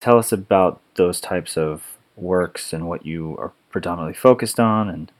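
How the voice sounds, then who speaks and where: A man hosting a podcast, asking his guest questions in a friendly, relaxed way. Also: deep voice, normal speed. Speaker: podcast host. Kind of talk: podcast interview.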